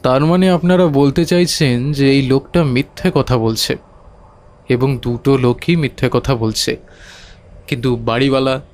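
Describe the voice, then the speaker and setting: A man's voice: mid-range voice, steady tone, normal speed, a little mellow, close to an everyday voice. A man playing a sceptical character in an audio detective drama, questioning in an insistent way. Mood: doubtful. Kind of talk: Bengali audio drama acting.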